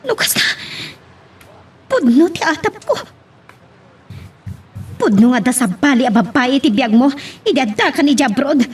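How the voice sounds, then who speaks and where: A woman's voice: high-pitched, very animated, a little slow, long pauses, slightly rough, full character voice. A woman playing an anguished wife and mother confronting her unfaithful husband, with an Ilocano accent, in love drama acting. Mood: tearful, accusing.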